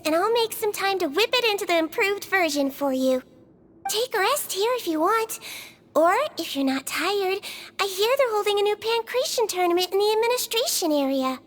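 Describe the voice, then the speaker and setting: A woman voicing a gentle, caring little nurse creature in a game, speaking softly and patiently. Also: very high-pitched, lively intonation, normal speed, a little hiss or hum. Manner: soft, kindly, patient, reassuring. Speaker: head nurse. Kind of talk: game voice acting.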